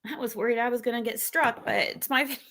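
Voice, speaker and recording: Bright-voiced woman streamer chatting. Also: fairly high pitch, fast. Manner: rambling, self-correcting, chatty. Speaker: livestream host. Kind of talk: casual livestream commentary.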